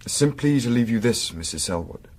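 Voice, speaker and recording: An actor playing a discreet, shrewd young Victorian student, speaking in a measured, formal, dryly ironic way in a period audio drama. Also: male voice, medium pitch, lively intonation, noisy background, close to an everyday voice. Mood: cool, incisive.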